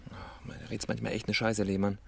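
Gruff, low-voiced police inspector. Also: male voice, level tone, fast, a little mellow, a little hiss or hum. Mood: irritated. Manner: blunt, terse. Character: gruff, irritable male police chief inspector. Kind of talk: radio crime drama acting.

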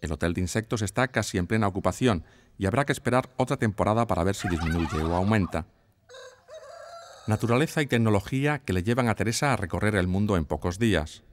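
Male narrator, low voice, Spain accent, scripted TV magazine report, clear noise behind him. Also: fast talker.

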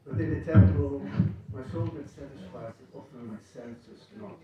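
A man's voice: medium pitch, moderate pace, highs cut off, a crowd in the background. Older guy chatting before a temple play, roomy echoey hall, people murmuring around. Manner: chatty, self-mocking, organizing. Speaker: drama director. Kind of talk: stage directions and talk before a play. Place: wood-panelled temple hall, indoors. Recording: echoey hall, far from the camera.

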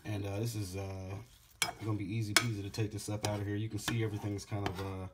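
A man in his forties with a fairly low voice chats loosely as he cooks in his home kitchen, sounding slightly congested. He is recorded on a phone camera, with clear background noise around him. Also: little pitch movement, quick pace. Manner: laid-back, rambling, says "man" and "bad boy" a lot. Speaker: home cook vlogger. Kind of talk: casual how-to vlog.

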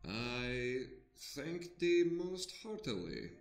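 An awkward trader played by a man stammers slowly through a radio drama. He sounds flustered and hesitant, off the mic in a roomy space. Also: mid-range voice, very animated, recorded from a distance, heavily acted. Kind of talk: audio drama acting.

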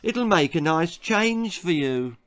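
A man's voice: a little high, expressive, slow pace, a bit bright, smooth, voice pushed up. A man with a British accent voicing a doting, indulgent mother in a storybook, coaxing and sing-song. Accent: British English.

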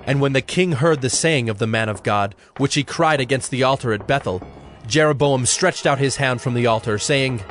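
A man narrating a dramatized Bible in a measured, solemn storytelling style. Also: medium pitch, a bit bright, soft background music.

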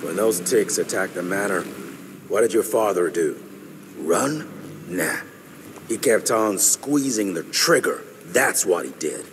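An actor voicing a gruff, world-weary middle-aged American agent in a video game, blunt and folksy. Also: male voice, sits low, animated, unhurried, background noise. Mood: grave, weary. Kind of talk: video game cutscene acting.

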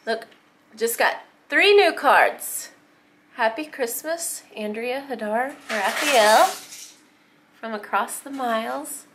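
A woman's voice: fairly high pitch, slow, noisy background. A woman vlogging at home, chatting casually while she holds up greeting cards and reads them aloud into a handheld camera. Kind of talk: casual vlog narration.

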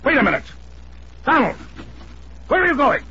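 An American actor playing a wealthy, middle-aged, domineering and hot-tempered uncle in an old-time radio drama, pompous and blustering. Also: male voice, very high voice, big pitch swings, moderate pace, bright, forceful tone, heavily acted. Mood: angry, blustering. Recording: dull, hissy old radio broadcast.

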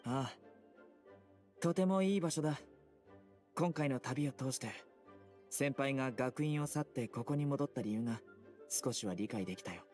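A man voicing a stern, serious young desert-born enforcer in an anime game, speaking formally and bluntly in a deadpan. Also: medium pitch, normal speed, pauses often, lightly acted. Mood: serious, composed. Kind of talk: Japanese anime game voice acting. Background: music.

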